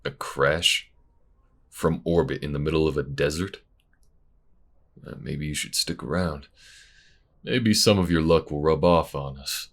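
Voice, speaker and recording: A man's voice: a bass voice, unhurried, pauses often, exaggerated voice. A man playing a blunt, good-natured workshop partner, speaking in a laid-back, teasing way in a casual audio roleplay. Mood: wry.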